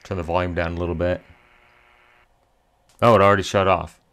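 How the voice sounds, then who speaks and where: Male repair-tutorial host, lowish pitch, methodical step-by-step delivery. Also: level tone, brisk, fairly bright tone. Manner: methodical, step-by-step, thinking aloud. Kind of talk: hands-on repair tutorial narration. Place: indoor electronics workbench.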